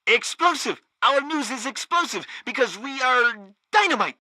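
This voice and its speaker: Pompous, excitable propaganda radio host. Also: male voice, very high voice, normal speed, no echo, studio quality, full character voice. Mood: self-satisfied. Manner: pompous, theatrical, obsequious. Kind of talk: satirical radio news announcing.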